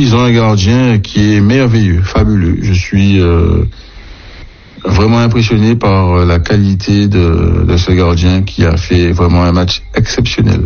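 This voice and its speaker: A man giving his opinion casually and hesitantly, often pausing to find his words. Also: low voice, gravelly, a little mellow. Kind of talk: casual opinion, answering a question. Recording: dull lo-fi sound.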